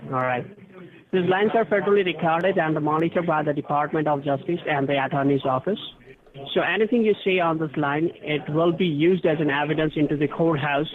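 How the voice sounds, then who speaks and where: A man's voice: a little high, fairly quick. A man calling by telephone, giving a formal, official-sounding scripted spiel with step-by-step instructions. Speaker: phone scam caller posing as a tax officer. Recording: tinny phone line with crowd chatter.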